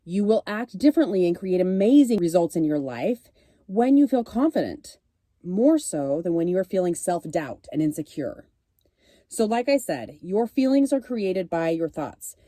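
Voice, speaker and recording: A woman's voice: fairly low pitch, sing-song, normal speed, warm tone, no room sound, clean studio sound. A woman podcast host and confidence coach with a cold, giving an earnest, encouraging solo talk. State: has a cold.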